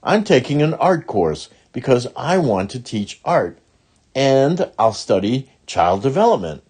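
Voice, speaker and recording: Storybook father, voiced by a male reader: good-humoured, teasing, lively intonation, slightly rough tone. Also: mid-range voice, unhurried, pitched above the performer's own voice.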